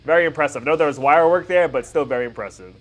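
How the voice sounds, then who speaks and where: A man's voice: on the high side, very fast, soft background music. A man in his thirties giving casual reaction commentary as a video host, talking like an enthusiastic fan with slangy asides.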